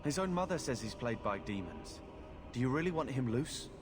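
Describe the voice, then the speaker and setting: A man's voice: mid-range voice, big pitch swings, a little fast, music in the background, natural voice. A man playing a sceptical, disapproving adventurer in video game dialogue.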